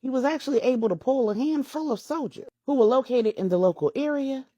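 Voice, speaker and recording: A woman's voice: high-pitched, brisk, dark tone, dry recording, highs cut off. A woman narrating a scripted story as a storyteller, with a dramatic, emphatic delivery.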